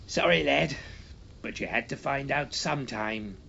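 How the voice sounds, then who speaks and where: A man's voice: medium pitch, a little slow, creaky, sharp tone, highs cut off, slight background noise. A man voicing a brusque, exasperated, commanding upper-class English uncle in a storybook reading. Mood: gruff consolation.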